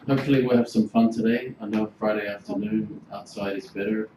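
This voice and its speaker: Greek guy in his 40s presenting to a class, lowish voice, roomy and noisy. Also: steady tone, a little fast. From Greece. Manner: chatty, informal, filler-heavy. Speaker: hotel general manager giving a workshop. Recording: roomy, noisy room recording.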